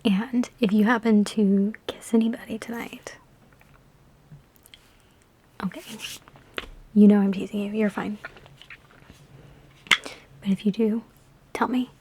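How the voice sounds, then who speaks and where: A woman in an ASMR roleplay speaking in a soft, breathy whisper mixed with hushed talk, with a sassy, teasing, chatty big-sister manner, close to the microphone. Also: medium pitch, fairly even intonation, slow pace, pauses often, slight background noise. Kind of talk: ASMR personal attention roleplay.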